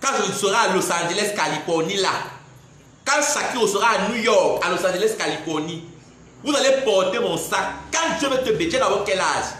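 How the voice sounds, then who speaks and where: A man's voice: sits high, animated, fairly quick, a bit bright. A man in his twenties with a Cameroonian French accent, ranting in a live video monologue, indignant and mocking, laughing between his jabs. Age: 20s. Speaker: social media personality. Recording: very echoey room.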